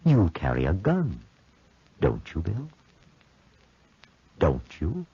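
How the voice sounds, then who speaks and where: Very deep, gravelly ghostly double. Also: male voice, wildly swooping pitch, warm tone, dry recording, exaggerated voice. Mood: taunting. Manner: slow, ominous, taunting. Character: menacing ghostly double, a man's dark other self. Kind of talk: 1940s radio horror acting. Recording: dull 1940s radio recording.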